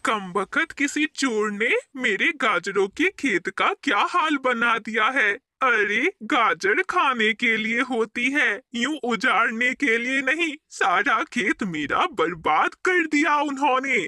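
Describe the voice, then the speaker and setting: Cartoon male crow or pigeon, female performer: theatrical, sharp-toned, slow and highly animated. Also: exaggerated voice. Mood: upset, aggrieved. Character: scheming male crow and aggrieved male pigeon of a bird fable. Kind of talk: children's cartoon dialogue.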